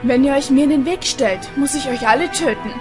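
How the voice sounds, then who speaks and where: Stern bossy king dad played by a woman, fairly high voice, music under it. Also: brisk, lightly acted. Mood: stern, commanding. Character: stern commanding king and father in a stage play. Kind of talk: amateur audio drama acting.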